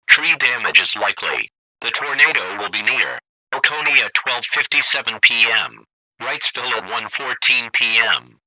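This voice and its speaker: A man's voice: medium pitch, pushed and bright, no echo, low-quality recording. A synthetic-sounding man reading scripted emergency weather warnings in a formal, impersonal, clipped way. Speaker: emergency alert announcer.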